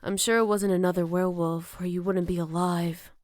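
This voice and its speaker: A woman playing a gentle, caring motherly werewolf in an ASMR roleplay, soft-spoken with hesitant pauses and tender teasing. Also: fairly low pitch, fairly even intonation, no echo, natural voice. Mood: concerned, calm. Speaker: voice actor. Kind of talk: ASMR roleplay addressed to the listener.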